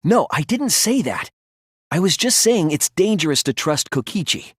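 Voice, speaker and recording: A man's voice: on the high side, sing-song, normal speed, slightly rough, no room sound, clean studio sound. An actor playing an earnest young male detective student in a video game, reasoning carefully step by step. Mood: firm, pressing. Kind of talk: video game voice acting, deductive explanation.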